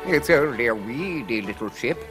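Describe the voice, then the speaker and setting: A man's voice: medium pitch, animated, moderate pace, music in the background, close to an everyday voice. A man doing a timid, servile storybook butler. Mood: anxious hope. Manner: hesitant, servile, anxious. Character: nervous, deferential male palace butler in a storybook. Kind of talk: children's story character voice.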